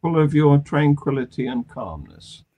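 A man hosting a news discussion on a video call, with a halting delivery. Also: on the high side, animated, warm tone. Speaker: panel show host. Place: indoor home room on a video call.

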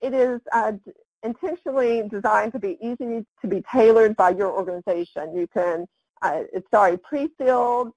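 A woman presenting an online webinar, talking through slides and often pausing with "um". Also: fairly high pitch, darkish tone, dry recording, poor audio. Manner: halting, with frequent "um" fillers.

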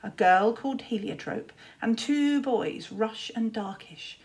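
A woman in her thirties reads a children's storybook aloud. Her voice sits a little high, and she narrates expressively in a teacher's reading style. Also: unhurried, gravelly, a little mellow.

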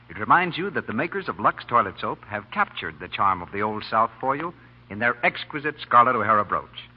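An American man announces in classic 1940s radio advertising style. His delivery is animated, with a husky edge. The old recording is dull and lacks highs.

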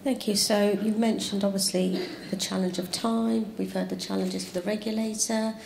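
A woman moderates a conference panel through a handheld mic, asking guiding questions in a steady way. Her pitch stays level and flat. The hall echoes with faint ambience. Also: moderate pace, vocal fry, background noise. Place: large conference room with audience.

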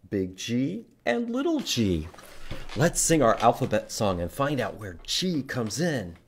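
A man in his fifties hosts storytime for young children. He takes his time, speaking slowly and emphatically, with pitch that rises and falls in a very lively way. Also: breathy edge. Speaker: library storytime host. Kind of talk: children's read-aloud and teaching. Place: indoor public library room.

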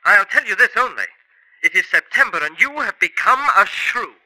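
An actor playing a curt, commanding young husband in a 1950s radio drama. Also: male voice, high-pitched, expressive, moderate pace, bright, forceful tone, exaggerated voice, low-quality recording. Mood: stern.

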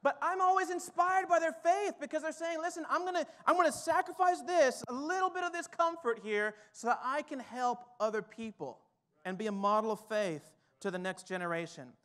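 A man in his thirties preaching a sermon from a church stage on a headset mic, moving from quiet reflection to fired-up exhortation. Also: very high-pitched, moderate pace. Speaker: pastor. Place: church stage with drum kit, indoors.

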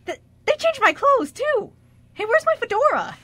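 A woman's voice: very high voice, big pitch swings, moderate pace, a bit bright, no room sound, full character voice. A woman playing an earnest, flustered young man in an amateur radio drama, with a hesitant, stammering delivery. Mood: alarmed.

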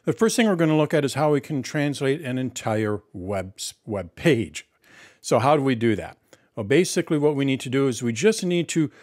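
A man's voice: mid-range voice, a little mellow, no echo, clean studio sound. A middle-aged man hosting a tech tutorial, explaining each step and answering his own questions. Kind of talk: screen-recorded tutorial walkthrough.

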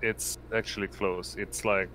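A man improvising narration as game master of a tabletop roleplaying game, speaking haltingly in short phrases. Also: on the low side, level tone, pushed and bright, a little hiss or hum, poor audio. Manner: halting, pieces descriptions together in short phrases.

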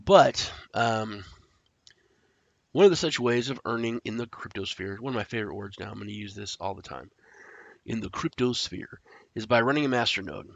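A man who is sick and stuffed up, casually walking through a screen-share tutorial, rambling with fillers and clearing his throat. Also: lowish voice, lively intonation, normal speed, dry recording. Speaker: crypto tutorial host. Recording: dry, dull lo-fi audio.

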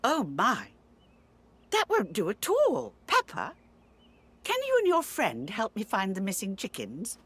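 A woman voicing a kindly elderly grandmother pig in a children's game, talking to children in an expressive sing-song. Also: medium pitch, measured pace, husky, exaggerated voice. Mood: concerned. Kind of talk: children's game character dialogue.

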